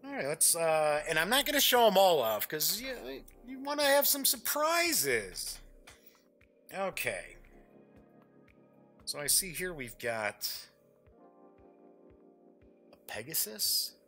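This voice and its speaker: A man with a bright, forward voice talks through an unboxing off the cuff. His pitch moves around in a lively way as he chats, jokes and mutters little asides to himself. Faint music sits behind him. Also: slow pace, long pauses. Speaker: host of a tabletop game review show. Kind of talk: casual off-the-cuff unboxing commentary.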